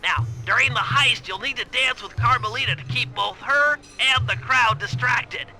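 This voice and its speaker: A nerdy turtle mastermind, very high-pitched and nasal, briefs a heist with fussy precision. The cautious, earnest cartoon game delivery sits over clear background music. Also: male voice, normal speed, pushed and bright, heavily acted. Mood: pleased, instructive.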